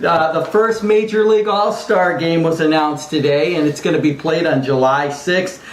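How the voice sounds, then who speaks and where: A man's voice: a little high, steady tone, normal speed. A man giving an informal mock sports report, rambling and stumbling with self-deprecating asides. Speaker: amateur sportscaster, sidekick co-host. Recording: echoey room, faint background noise.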